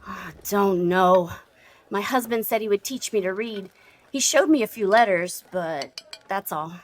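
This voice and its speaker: An actress playing a weary, guarded widow in an audio drama, plain-spoken, hesitant and stubborn. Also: female voice, mid-range voice, unhurried, slight background noise, lightly acted. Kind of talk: dramatic audio fiction acting.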